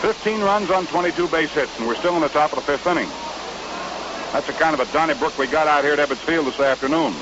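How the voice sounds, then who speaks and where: A man's voice: a little high, fast talker, noisy background. An American man calling baseball play-by-play in a folksy 1950s radio commentary style, on a muffled old broadcast recording. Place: ballpark broadcast booth.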